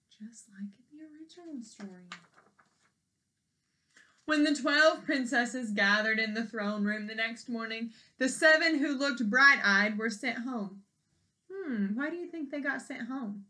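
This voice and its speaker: Bright-voiced woman reading to children. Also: a little high. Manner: animated, explanatory, speaking as to young children. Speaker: storyteller reading a picture book aloud. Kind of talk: expressive children's read-aloud with questions to listeners.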